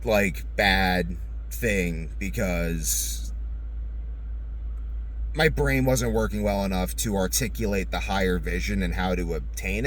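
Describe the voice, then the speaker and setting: Man, lowish voice, casual rambling vlog talk, blunt and sweary, acts out his own thoughts, noticeable ambient noise. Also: rough recording. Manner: blunt, profane, animated self-quoting. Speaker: vlogger. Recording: clear background noise.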